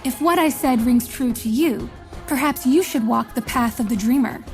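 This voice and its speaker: A woman playing a solemn, commanding visionary mentor in a fantasy game, speaking in a measured, portentous, rhetorical way. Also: high-pitched, a little mellow, faint music behind. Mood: solemn, persuasive. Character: solemn, commanding female visionary mentor recruiting chosen leaders. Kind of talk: scripted fantasy game voice lines.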